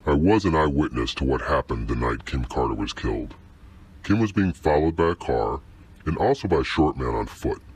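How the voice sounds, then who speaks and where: Very deep male voice, husky, grave, accusing, actor as anonymous informant, audio drama. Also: lively intonation, moderate pace, heavily acted.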